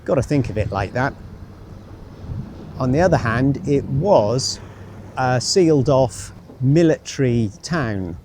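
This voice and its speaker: A male travel vlogger speaks slowly and in a mellow tone, musing as he goes. His commentary is casual and wryly self-deprecating, recorded outdoors with light street and vehicle noise behind him. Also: medium pitch, expressive. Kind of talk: casual walking travel commentary, thinking aloud. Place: outdoors, walking by a road and canal. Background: street ambience, vehicles.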